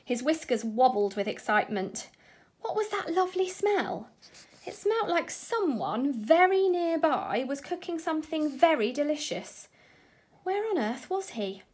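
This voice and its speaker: Animated, slightly husky woman storyteller. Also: sits high. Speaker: teacher reading a bedtime story. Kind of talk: expressive children's storybook narration.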